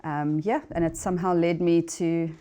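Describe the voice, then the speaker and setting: A woman from South Africa, a photographer speaking as a panel guest, soft-spoken and hesitant. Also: on the low side, steady tone, moderate pace. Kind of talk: casual conversational talk.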